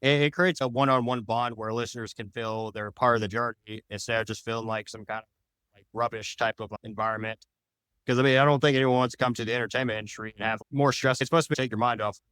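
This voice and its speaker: Fast-talking man, clear smooth voice. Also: medium pitch, fairly even intonation, fairly bright tone, no echo, poor audio. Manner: rambling, loosely phrased explanations. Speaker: podcast guest, audio drama creator. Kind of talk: casual interview answers.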